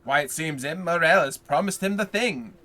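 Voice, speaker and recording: A man reading aloud plays a blustering, envious neighbor from a storybook, all gossip and grudges. His voice comes out bright and edgy as he complains. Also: on the high side, normal speed, voice pushed up. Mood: indignant.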